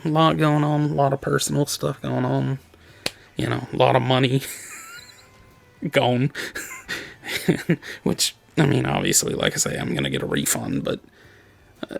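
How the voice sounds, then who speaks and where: A man giving a casual, rambling update to his viewers, tired and losing his voice, gravelly and hoarse, stopping and restarting often. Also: fairly high pitch. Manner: rambling, halting, with many restarts and nervous laughs. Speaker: gaming channel host. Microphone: desk microphone.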